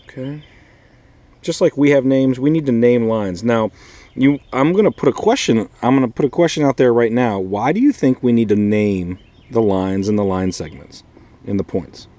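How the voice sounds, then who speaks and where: A man's voice: medium pitch, animated, normal speed, warm tone, no room sound. An American man teaching an informal math lesson as a schoolteacher, repeating key points and asking checking questions. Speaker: fourth grade math teacher.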